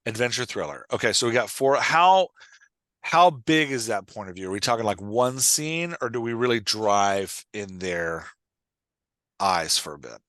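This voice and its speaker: Male podcast coach, lower register, dry recording. Explains conversationally and checks for understanding. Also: animated, normal speed, fairly bright tone, no echo. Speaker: podcast host and writing coach.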